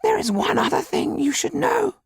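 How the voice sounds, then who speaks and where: A man voicing a haunted, secretive male magician in an audiobook, high, raspy and breathy, telling things in a slow, halting way. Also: very animated, no echo, full character voice. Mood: grave, certain. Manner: slow, dramatic, halting storytelling. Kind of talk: audiobook character acting.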